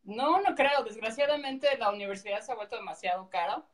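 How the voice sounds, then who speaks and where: A woman with a Mexican accent explaining things casually and in a measured way as a guest on a video call. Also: on the high side.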